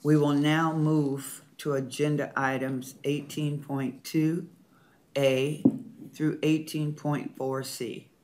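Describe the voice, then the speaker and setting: A man serving as board president, reading agenda items and calling motions and votes in a formal meeting. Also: mid-range voice. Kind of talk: formal procedural meeting chairing.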